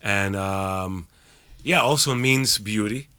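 Cuban-accented man, bright voice. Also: lowish voice, slow, clear, resonant tone, no room sound. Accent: Cuban Spanish. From Cuba. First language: Spanish. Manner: warm, hesitant, many fillers, laughs easily. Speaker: musician guest. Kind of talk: casual interview. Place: radio studio.

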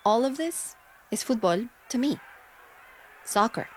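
A woman's voice: on the high side, animated, unhurried, a little hiss or hum. A woman in her forties from Mexico, telling a personal story as a radio host in an intimate, reflective way. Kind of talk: scripted personal narration. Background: faint music.

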